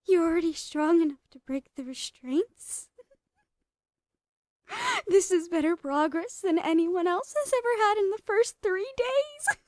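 A woman's voice: very high-pitched, measured pace, dry recording, studio quality. A woman playing a psychotic female doctor who dotes obsessively on a captive, cooing and slipping into whispers in a horror roleplay. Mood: gleefully obsessed.

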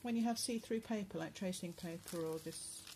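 A lowish, slightly hoarse woman chats gently through a craft journal, pausing often with "um"s. She is recorded on a phone above a table at home, with a faint hum in the room behind her. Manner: soft, chatty, with many pauses and fillers. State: going hoarse, clearing her throat. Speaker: crafter showing a handmade journal. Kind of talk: casual craft flip-through narration. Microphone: phone camera above the table.

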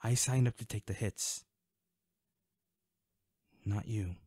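An actor playing a protective, worried male bodyguard in an intimate, dramatic audio roleplay, with halting, emotional delivery. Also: lowish voice, a little mellow, no echo, studio quality. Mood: hurt, subdued.

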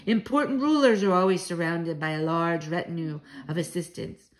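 A woman's voice: fairly low pitch, normal speed, pitched below the performer's own voice, natural voice. A woman voicing a bold, proud old Norwegian grandmother in a storybook, speaking with assured, dramatic emphasis and affection. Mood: conspiratorial.

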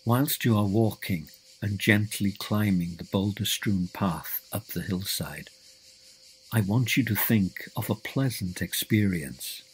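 A man with a low, husky voice leads a guided visualization. He reads his script very slowly and deliberately, leaving space between short phrases. The delivery is measured and instructional, with a noticeably rough, breathy grain. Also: rough recording. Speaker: guided meditation narrator.

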